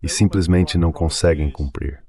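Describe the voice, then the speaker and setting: A deep-voiced man talks politics as a guest on an interview show. His delivery is conversational and emphatic, repeating phrases to press the point. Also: steady tone, moderate pace, a crowd in the background.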